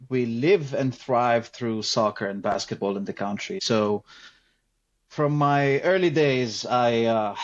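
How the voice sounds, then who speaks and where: Middle-aged man, lowish voice, reflective storyteller, slightly dull recording. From Lebanon. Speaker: podcast guest. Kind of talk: casual interview storytelling.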